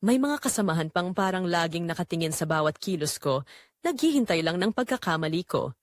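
A woman narrating a scripted first-person romance story in an expressive, sentimental storytelling manner. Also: on the low side, brisk, no echo.

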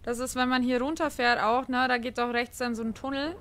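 Higher-voiced woman in role-play chat. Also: fairly even intonation, fairly quick, fairly bright tone, a little hiss or hum. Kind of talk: improvised in-character chat in a survival role-play.

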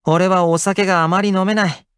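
An actor playing a friendly, caring young housekeeper in an anime-style game, speaking casually and expressively with gentle attentiveness. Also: male voice, high voice, fairly even intonation, normal speed, clear, resonant tone, dry recording, studio quality. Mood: cheerful, warm. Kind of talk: Japanese anime game character voice lines.

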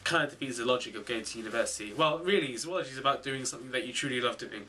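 A man in his twenties chatting casually to camera in a small bedroom, animated and full of fillers and self-corrections. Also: medium pitch, rapid-fire, distant mic, a little hiss or hum. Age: 20s. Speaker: vlogger, zoology student. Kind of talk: casual vlog chat to camera.

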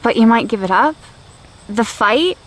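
Audio drama teen daughter: gentle, tentative, highish voice, unhurried, night insects behind. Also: female voice, rough recording. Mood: concerned, tentative. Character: tentative, gentle fifteen-year-old girl, soldier's daughter. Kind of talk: naturalistic audio drama acting. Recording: steady outdoor night-insect noise.

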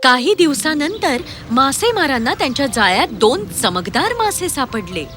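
A woman narrating a scripted children's fairy tale in an expressive, dramatic storytelling manner. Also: sits high, normal speed. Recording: music clearly under the voice.

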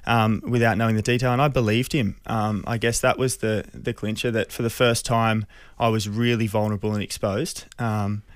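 Man, thirties, Australian accent, even intonation, earnest, measured, dry close studio mic. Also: on the low side, smooth, no echo. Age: 30s. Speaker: radio interview guest. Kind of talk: personal storytelling in an interview. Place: small radio studio. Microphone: close studio microphone.

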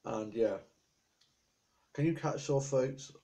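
A man's voice: medium pitch, takes it slowly, dark tone. A chatty young man from England rambling to a webcam about his week, with a slight sore throat. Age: young adult. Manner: chatty, rambling, stream of lists. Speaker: vlogger. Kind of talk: casual chat to camera. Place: small indoor room with appliances. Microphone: webcam at desk.

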